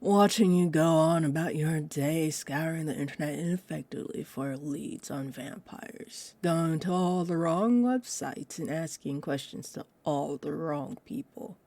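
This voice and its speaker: A woman acts out an ancient female vampire in a roleplay drama, with a low-pitched voice and slow, deliberate delivery. She is haughty and possessive, teasing her prey with amused condescension. The sound is close and very dry. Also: mellow, dry recording. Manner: haughty, unhurried, amused, drifting into breathy near-whispers. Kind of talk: roleplay audio drama monologue, addressed to listener.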